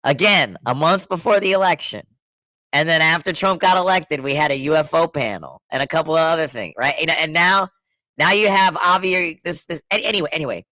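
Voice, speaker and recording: A man's voice: a little high, a little fast, pushed and bright, no echo. A middle-aged man with a scratchy throat from being sick, chatting loosely on a podcast, rambling and restarting his sentences. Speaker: podcast co-host. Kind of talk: casual chat.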